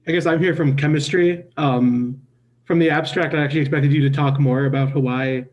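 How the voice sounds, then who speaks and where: A man attending a seminar, introducing himself in a halting way on a video call. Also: on the high side, steady tone, a little mellow, muffled recording.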